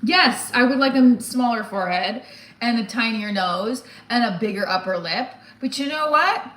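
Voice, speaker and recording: A woman's voice: fairly high pitch, animated, moderate pace, a bit bright. A woman in her forties chatting casually on a livestream, blunt and candid, rambling and often breaking off mid-thought. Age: 40s. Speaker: stand-up comedian livestreamer. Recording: roomy echo, some background noise.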